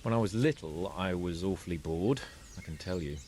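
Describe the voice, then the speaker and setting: A man's voice: sits low, fairly quick, a little mellow, a little hiss or hum, lightly acted. An actor playing an imperious, teasing young nobleman with a British accent in a video game cutscene. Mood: haughty, matter-of-fact. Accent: British English. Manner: imperious, mocking, aristocratic. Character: haughty, teasing young nobleman, not yet of age.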